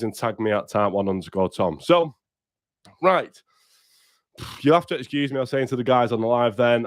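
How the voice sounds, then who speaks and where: A man's voice: mid-range voice, no room sound, clean studio sound. A man hosting a casual livestream podcast while bunged up with a cold. He is blunt, sweary and upbeat, and talks straight to his viewers. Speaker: podcast host.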